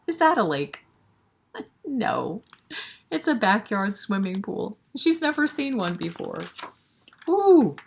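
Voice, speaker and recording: Slow, expressive woman reading to children. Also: medium pitch, husky. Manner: expressive, encouraging, asks the listeners questions. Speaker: librarian leading story time for children. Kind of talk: animated picture-book read-aloud with asides to children.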